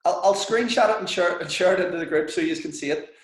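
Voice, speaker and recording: A man's voice: high-pitched, fast, reverberant, low-quality recording. A man with a Belfast accent coaching a business group in a frank, chatty, sweary way. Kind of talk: casual coaching session with students.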